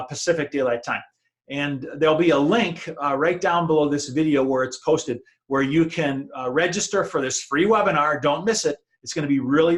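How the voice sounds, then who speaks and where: Higher-voiced male interview host. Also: a bit bright. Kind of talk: conversational interview hosting.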